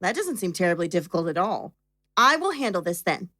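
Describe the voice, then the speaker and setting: A woman playing an eager, helpful character with a teasing, expressive way of talking in a naturalistic audio drama. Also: lowish voice, a little fast, no room sound, clean studio sound, full character voice.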